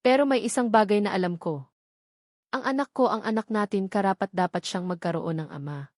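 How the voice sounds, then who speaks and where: A hurt expectant mother in a romantic audio drama, voiced by a woman storyteller. She is guarded and hesitant yet firm, with a medium pitch in a dry recording. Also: brisk, no echo, natural voice. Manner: soft but steady, hesitant.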